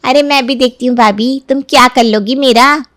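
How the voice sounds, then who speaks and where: A cheeky young sister-in-law, put on by a woman narrator, needles and mocks. The voice sits high and spiteful, in a cartoon family-drama style with a dry recording. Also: steady tone, moderate pace, a bit bright, no room sound. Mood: mocking. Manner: mocking, needling.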